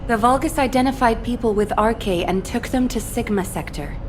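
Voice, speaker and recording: A woman's voice: fairly high pitch, moderate pace, natural voice. A woman playing a composed military briefing officer in a video game, speaking formally and instructively. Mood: serious, composed. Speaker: mission briefer. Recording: clear background noise.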